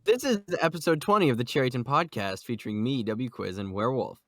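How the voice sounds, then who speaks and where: A man's voice: mid-range voice, animated, fast, fairly bright tone, no echo. Guy hosting a chatty group podcast, jokey and teasing, dry close sound. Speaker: podcast host. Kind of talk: casual group chat about manga.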